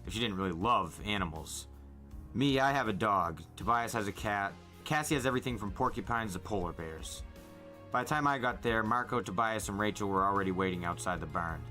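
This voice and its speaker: A man reads a story aloud with expressive, audiobook-style narration. His voice sits fairly low but has a bright, forward edge, and music plays behind him. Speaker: podcast host reading a novel aloud. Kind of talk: first-person audiobook-style narration with acted dialogue.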